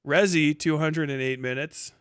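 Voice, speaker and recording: A man hosting a casual, unscripted livestream, talking bluntly with profanity, muttering asides and reading things out loud as he goes. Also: a little high, fairly even intonation, moderate pace, clear, resonant tone, no room sound, clean studio sound. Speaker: livestream host. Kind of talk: reads names, stats and posts aloud.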